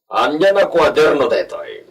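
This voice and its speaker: A stern household master in an Ilocano radio drama, acted by a man. He speaks very slowly and deliberately with an Ilocano accent, his mid-pitched voice swinging expressively as he gives orders or broods. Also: sing-song, slightly rough, heavily acted. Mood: curious. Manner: deliberate, commanding.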